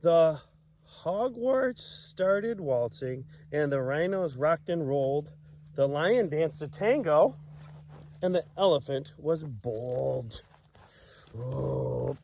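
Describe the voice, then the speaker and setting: A man reading a children's story aloud in a playful, expressive way, with chatty asides and exclamations. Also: a little high, slow, gravelly, a little hiss or hum.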